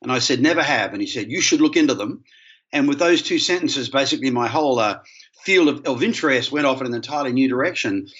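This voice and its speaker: A middle-aged Australian man, an academic guest explaining things in a measured, articulate, conversational way. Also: mid-range voice, fairly bright tone, breathy edge, muffled recording. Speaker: interview guest, history professor.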